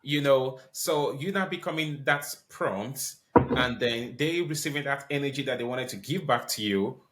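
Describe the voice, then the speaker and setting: A man's voice: medium pitch, moderate pace, background noise. A man hosting a podcast interview, asking questions politely with many ums and restarts. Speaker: podcast host.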